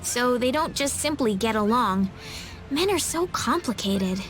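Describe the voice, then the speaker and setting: High, earnest young game heroine. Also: female voice, lively intonation, a little slow, faint music behind. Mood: curious. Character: earnest, hopeful, gentle-mannered young heroine. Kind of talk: English anime game dub acting.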